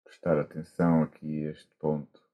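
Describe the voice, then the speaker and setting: A man with a European Portuguese accent, a monk guiding a meditation gently and haltingly, with long pauses, over a video call. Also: extremely low pitch, little pitch movement. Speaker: Buddhist monk, meditation teacher. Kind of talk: guided meditation instruction. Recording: muffled, dull online call audio.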